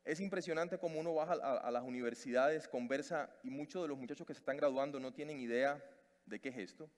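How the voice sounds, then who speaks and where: A man's voice: mid-range voice, fast talker. Cuban man lecturing in a big hall. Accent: Cuban Spanish. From Cuba. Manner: hesitant, many fillers and restarts. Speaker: conference speaker. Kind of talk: conference talk. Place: conference stage in a large hall. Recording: long reverb of a large hall.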